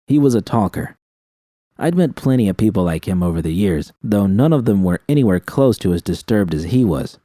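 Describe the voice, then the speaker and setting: Deep-voiced man narrating fiction. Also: lively intonation, a little fast, mellow, no room sound, clean studio sound. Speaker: audiobook narrator. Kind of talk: scripted first-person fiction narration.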